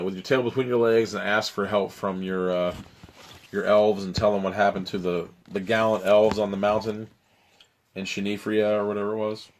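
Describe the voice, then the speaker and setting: Streamer host, male, lowish and raspy; dramatic reading mixed with rambling chat. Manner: dramatic narration, then loose rambling chat. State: raspy, strained voice. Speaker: host reading a choose-your-own-adventure book. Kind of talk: live-stream storytelling and chat.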